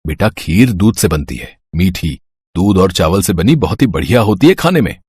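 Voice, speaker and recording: A man voicing a gentle, patient young father who explains soothingly in a children's moral story. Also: sits low, lively intonation, moderate pace, breathy edge, no echo, exaggerated voice. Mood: patient, explaining. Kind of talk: animated Hindi moral story dialogue.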